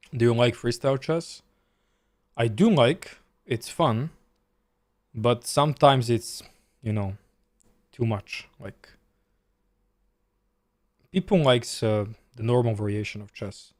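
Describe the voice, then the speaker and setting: A man's voice: mid-range voice, slow, pauses often, no echo. A man from Serbia with a Serbian accent, a chess streamer and coach chatting casually, answering viewers' questions as he plays. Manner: chatty, reads chat aloud and answers while playing. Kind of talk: casual live-stream commentary.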